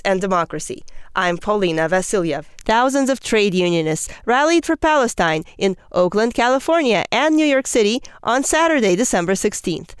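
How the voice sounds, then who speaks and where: A woman's voice: a little high, clear, resonant tone, dry recording. A woman hosting a radio news show, reading a scripted narration.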